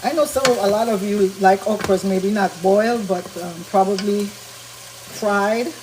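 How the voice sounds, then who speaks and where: A woman with a slightly raspy voice talks through a recipe in her home kitchen. She is chatty and explains each step as she cooks. Frying food sizzles clearly behind her. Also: medium pitch, measured pace, noisy background. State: slightly raspy from talking a lot. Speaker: vegan cooking channel host. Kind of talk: casual cooking demonstration. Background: frying and sizzling sounds.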